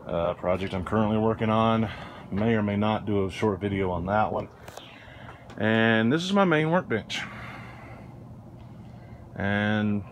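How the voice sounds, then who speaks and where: A middle-aged man hosting a woodworking channel, giving a relaxed, rambling tour of his porch workshop. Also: lowish voice, slight background noise. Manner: relaxed, rambling, with restarts and fillers. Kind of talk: casual shop tour. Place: outdoor front porch workshop under a canopy.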